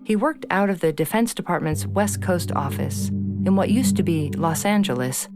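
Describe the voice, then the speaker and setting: A woman plays a middle-aged podcast presenter in a satirical audio drama, her voice pitched low over loud guitar music. She is smug and polished, narrating with an insinuating, scripted smoothness. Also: moderate pace, lightly acted. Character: smug fifty-year-old female propaganda true-crime podcast host.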